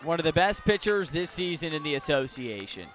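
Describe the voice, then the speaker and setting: A man calling baseball play-by-play live on the radio, phrasing deliberately and swelling on the big plays. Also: fairly high pitch, big pitch swings, fairly bright tone. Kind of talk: live radio sports commentary. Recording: noisy outdoor ballpark with crowd. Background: ballpark crowd noise.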